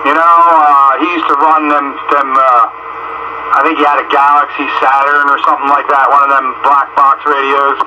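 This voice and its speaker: A man's voice: medium pitch, little pitch movement, moderate pace. American guy rambling on a two-way radio, dull muffled sound, noisy signal. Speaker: amateur radio operator. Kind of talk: casual chat over two-way radio.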